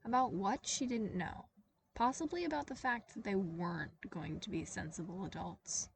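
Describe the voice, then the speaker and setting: A woman narrates a story aloud with a lively, expressive delivery at a mid-height pitch. The voice sits a little away from the mic. Faint hiss runs underneath. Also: normal speed, vocal fry, distant mic. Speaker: podfic reader.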